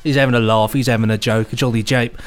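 A man's voice: medium pitch, fairly even intonation, quick pace, faint music behind. A British man co-hosting a podcast, reading out listener emails in a casual, jokey, theatrical way. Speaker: podcast co-host.

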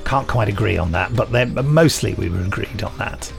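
Creaky-voiced male podcast host. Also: on the low side, normal speed, soft background music. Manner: self-mocking, enthusiastic. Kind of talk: casual banter, introducing audio drama.

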